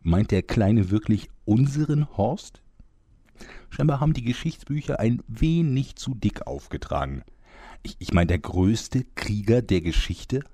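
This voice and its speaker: A man's voice: on the low side, animated, measured pace, dark tone, no room sound. A man playing a pompous, grumpy old-timer narrator in a mock-epic audio play, given to chatty asides. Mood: flustered, indignant. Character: grand, grumpy old-timer male narrator of heroic tales.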